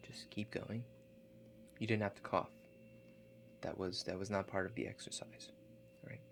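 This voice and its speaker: A man playing a calm, attentive relaxation agent in a soft-spoken ASMR roleplay, talking slowly and gently, with reassuring instructions. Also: sits low. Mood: gently guiding. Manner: slow, gentle, reassuring, instructive, often checking in. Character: calm, attentive male house-call relaxation agent guiding breathing.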